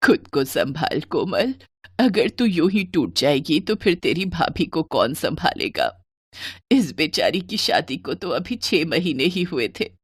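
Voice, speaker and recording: A woman's voice: low-pitched, sing-song, unhurried, husky, no echo, heavily acted. A woman voicing a poor, worried older mother-in-law in a village storybook tale, speaking plaintively. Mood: pleading, sorrowful.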